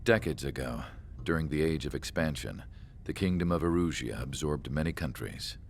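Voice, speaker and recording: Very deep, gravelly solemn scientist. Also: male voice, expressive, slight background noise, full character voice. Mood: solemn, reflective. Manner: measured, reflective. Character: solemn older male research scientist recalling an ace pilot. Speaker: story narrator. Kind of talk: scripted dramatic cutscene narration.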